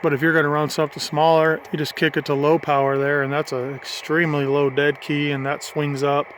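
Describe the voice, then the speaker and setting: Higher-voiced man, folksy and casual, noisy recording. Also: flat delivery, fairly quick, a bit bright. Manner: folksy, informal, thinking aloud. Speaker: hobbyist vlogger demonstrating a CB radio. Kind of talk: casual hands-on demonstration. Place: in or beside a parked vehicle. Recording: clearly noisy handheld amateur recording.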